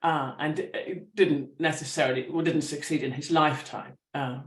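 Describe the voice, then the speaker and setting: A woman, a writer and teacher, talking through her ideas with animated thoughtfulness and many restarts at a public talk. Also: deep voice, normal speed. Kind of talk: conversational storytelling. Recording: roomy echo.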